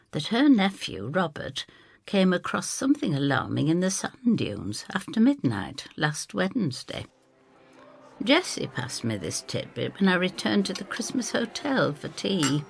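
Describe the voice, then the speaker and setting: A woman's voice: lowish voice, vocal fry, breathy edge, no room sound, heavily acted. A woman playing a motherly landlady who narrates her own story in a wry, homely, confiding manner. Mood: storytelling, intrigued. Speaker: first-person narrator. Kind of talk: dramatised scripted storytelling.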